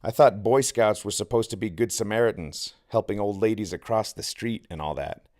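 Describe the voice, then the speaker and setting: A man's voice: on the low side, normal speed, a little mellow, close to an everyday voice. A man playing a wisecracking, defensive teenage boy in an audiobook, wry and flippant.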